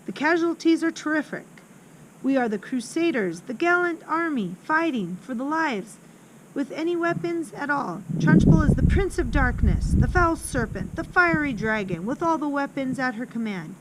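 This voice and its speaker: A woman's voice: high voice, expressive, moderate pace, voice pushed up. A woman voicing a dramatic, boastful older schoolchild in a children's book read-aloud, theatrical and grandiose. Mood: grandiose, rousing. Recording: loud noise throughout.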